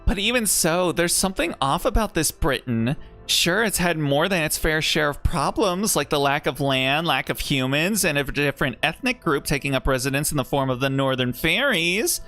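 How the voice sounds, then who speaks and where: A man's voice: fairly high pitch, expressive, normal speed, pushed and bright, soft background music, pitched above the performer's own voice. A man voicing a sly male fairy guide who speaks in a lofty, teasing storyteller tone. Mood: musing.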